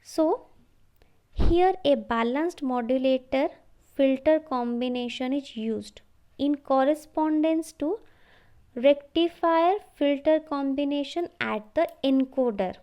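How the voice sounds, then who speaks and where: A woman giving an explanatory slide lecture, halting and repeating phrases as she goes. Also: high voice, warm tone, muffled recording. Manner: halting, repeats phrases, often says okay.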